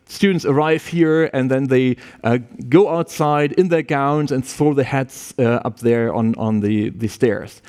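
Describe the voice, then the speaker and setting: A man's voice: mid-range voice, a little mellow. A man speaking informally to prospective students at a university open day, loose and chatty, with many restarts and "yeah?" tags.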